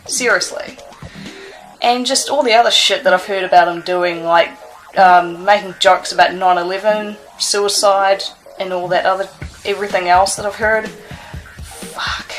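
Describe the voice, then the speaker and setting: A woman speaking with disgust, pausing on fillers as she lists complaints. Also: medium pitch, steady tone, fairly bright tone, faint music behind, low-quality recording. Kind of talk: ranting to camera.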